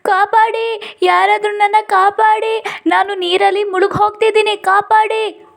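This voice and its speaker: A woman plays a tiny ant in a children's storybook tale. The voice is very high and urgent, pleading in fright for someone to come to the rescue. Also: little pitch movement, measured pace, vocal fry, faint music behind, exaggerated voice. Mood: panicked pleading.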